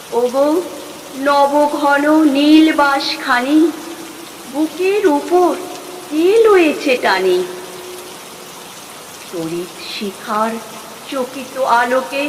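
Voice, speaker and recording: A woman gives an expressive poetry recitation, with dramatic, drawn-out phrasing and deliberate pauses. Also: high voice. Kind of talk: expressive Bengali poem recitation. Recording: long hall reverb, clear background noise.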